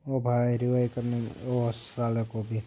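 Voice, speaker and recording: A man's voice: mid-range voice, completely flat delivery, measured pace, mellow, a little hiss or hum. A young man with an Indian accent giving casual mobile game commentary, often repeating short phrases. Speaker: gaming video host.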